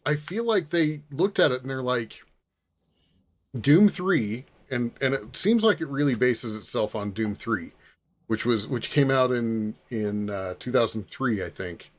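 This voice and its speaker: Halting middle-aged man hosting a chat. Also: medium pitch, lively intonation. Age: 40s. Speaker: podcast host. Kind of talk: casual film discussion.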